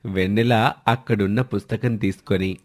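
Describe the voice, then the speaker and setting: A man's voice: fairly low pitch, fairly even intonation, moderate pace, a little mellow. A man narrating a scripted family story as the storyteller.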